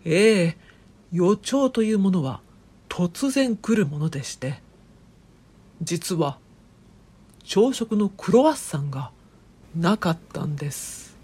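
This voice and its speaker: A woman playing an eccentric, excitable professor in a comic audio drama, with pompous, theatrical, old-fashioned formal speech. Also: lowish voice, takes it slowly, long pauses, husky, exaggerated voice. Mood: solemn explaining. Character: eccentric, excitable professor obsessed with a phantom bird. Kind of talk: comedic audio drama acting.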